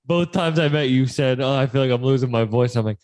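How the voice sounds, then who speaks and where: A man's voice: medium pitch, fast talker, darkish tone. A man in his twenties hosting a casual interview in a music studio, joking and swearing freely. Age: 20s. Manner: joking, profane, easygoing. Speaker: podcast host, comedian.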